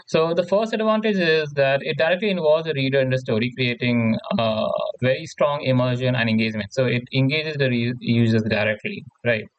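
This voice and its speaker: A man with a mid-range voice explains material like an informal online tutor. He speaks haltingly, circles back over his phrases and keeps checking that the listener follows. The sound is dry and close, slightly dull and lo-fi. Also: medium pitch, dry recording. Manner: halting, repetitive, often seeks agreement with "right?". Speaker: tutorial presenter. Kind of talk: informal lecture explaining slides.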